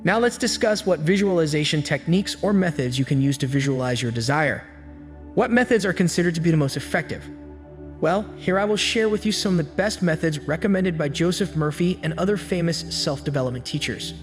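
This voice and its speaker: A man giving scripted self-help narration with an even, steady storytelling delivery. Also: on the high side, fairly quick. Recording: long hall reverb with faint music. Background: faint background music.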